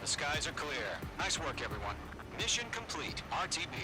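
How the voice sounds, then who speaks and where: A game's military air controller on radio. He gives businesslike tactical calls in a fairly high voice. Loud music and gunfire run behind. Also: very animated, normal speed, poor audio. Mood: calm, approving. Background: loud music, gunfire, vehicle noise.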